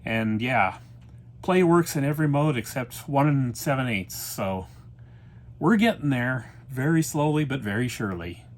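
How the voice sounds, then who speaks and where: A man with a medium-pitched voice hosts a hands-on repair video. His commentary is casual and informal, as if he is thinking out loud while he works. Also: animated, measured pace. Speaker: repair vlogger and host. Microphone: backup microphone, not the usual audio recorder.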